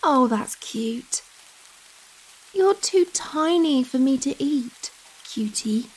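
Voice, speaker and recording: A giant vampire woman, played by a female ASMR actor, speaks in a high, lingering voice with rain falling around her. She is coy and coddling, gentle yet possessive, toying with someone tiny in a slow, intimate roleplay. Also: breathy edge, heavily acted, low-quality recording. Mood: amused, teasing. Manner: slow, coy, coddling talk with pet names. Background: steady rain.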